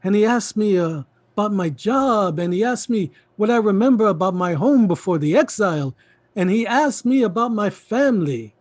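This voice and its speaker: An emphatic middle-aged uncle in a stage drama acted by a man, coaxing and indignant by turns. His pitch swings widely as he pleads and repeats himself, and the sound is dry and close. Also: lively intonation, normal speed, a little mellow, no echo. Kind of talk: theatrical stage play reading.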